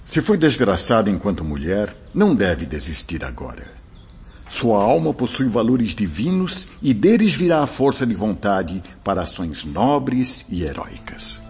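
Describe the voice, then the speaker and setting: A Brazilian man playing a kindly, solemn spirit mentor who exhorts in a measured, preacherly way in a radio drama. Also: lowish voice, very animated, a little mellow, slight background noise. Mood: consoling, solemn. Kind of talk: Portuguese radio drama acting. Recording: dull lo-fi audio.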